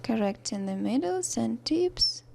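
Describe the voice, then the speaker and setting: Young Russian-accented woman, mellow and gravelly, halting tutorial voice-over. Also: a little high, animated, a little hiss or hum. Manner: halting, with many fillers and restarts, soft-spoken. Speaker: art tutorial narrator. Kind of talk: voice-over narration of a drawing tutorial.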